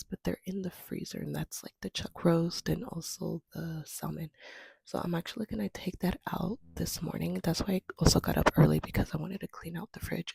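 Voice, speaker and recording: A woman with a cold, hoarse and sniffling, chatting casually in a soft, half-whispered way as she vlogs from her home. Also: lowish voice, no room sound. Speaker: homestead vlogger. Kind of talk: casual vlog chat. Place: at home, indoors.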